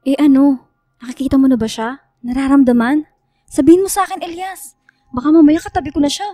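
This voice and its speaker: A woman's voice: sits high, steady tone, brisk, dark tone, dry recording, studio quality, faint music behind, lightly acted. An actress playing a frightened young woman, the hero's questioning friend, pleading anxiously in a radio drama. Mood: nervous.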